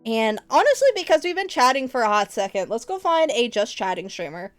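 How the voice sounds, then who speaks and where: A woman's voice: fairly high pitch, very animated, a little fast. Playful, giggly woman streamer. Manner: playful, teasing, laughs often, says y'all. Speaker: livestreamer. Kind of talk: casual stream chat with viewers.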